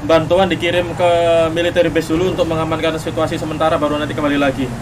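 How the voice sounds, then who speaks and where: A man's voice: on the high side, little pitch movement, fast talker. A man with an Indonesian accent playing a police lieutenant in online game roleplay, talking over radio and chat. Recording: clear vehicle noise in game. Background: game vehicle and ambience sounds.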